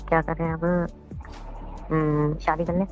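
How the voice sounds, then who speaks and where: A man acting a flustered, hungover young boyfriend in a phone-call drama, questioning repetitively, his voice pitched up and sped up. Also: flat delivery, moderate pace. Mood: resigned. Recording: muffled lo-fi call audio. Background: music.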